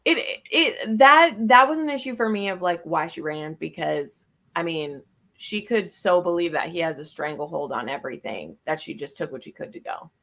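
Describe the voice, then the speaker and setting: A woman's voice: fairly low pitch, moderate pace, a bit bright. A woman in a casual book discussion, talking in a blunt, matter-of-fact way. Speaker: book club podcast panelist.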